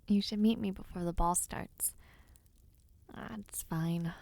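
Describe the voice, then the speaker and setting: A woman acts out a young noblewoman in an intimate audio roleplay. She takes her time, with a soft, breathy delivery that often frays into creak. She sounds affectionate and hesitant, close and dry, as if speaking to one dear friend. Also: on the low side, no echo. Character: affectionate young noblewoman, playful but nervous before her debut. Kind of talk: intimate audio roleplay acting, addressing the listener.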